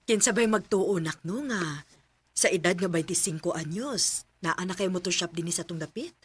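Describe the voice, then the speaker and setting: A woman playing a gentle, caring mother who coaxes and teases her son. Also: lowish voice, moderate pace, lightly acted. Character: caring middle-aged mother. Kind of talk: amateur radio drama acting.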